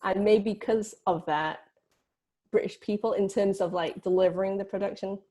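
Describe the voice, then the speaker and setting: A woman's voice: medium pitch, steady tone, moderate pace, warm tone. A woman speaking as a film producer in a casual panel interview, in an informal, loosely phrased way. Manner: informal, hedging with frequent "like".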